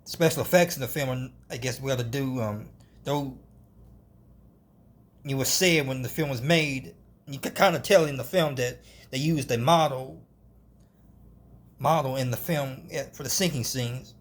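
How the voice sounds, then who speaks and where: A man giving an unscripted film review, halting, often restarting and hedging as he goes. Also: medium pitch.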